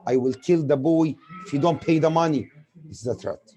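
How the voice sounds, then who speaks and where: A man's voice: fairly high pitch, fairly quick, mellow. A man with an Egyptian accent, a native Arabic speaker, giving a grammar lesson as a teacher who asks and answers his own questions. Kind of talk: spoken lesson explaining with examples.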